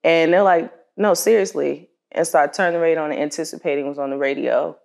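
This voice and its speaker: A woman's voice: low-pitched, steady tone, a little fast, creaky, a little mellow. A woman singer-songwriter talking casually in an interview, telling a story in an informal, conversational way and acting out what people said.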